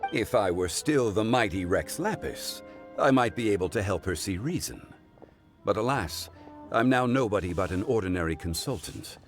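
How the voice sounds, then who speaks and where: Game sage male actor: dignified consultant, courteous and measured, deep voice with plenty of inflection. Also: animated, lightly acted. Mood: grave, resigned. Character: dignified, wise, composed gentleman consultant, once mighty. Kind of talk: video game cutscene acting. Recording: background music.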